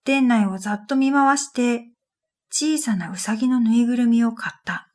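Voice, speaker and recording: A woman's voice: fairly high pitch, normal speed, darkish tone. A woman narrating a scripted first-person story for a radio drama in an introspective, matter-of-fact manner. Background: music.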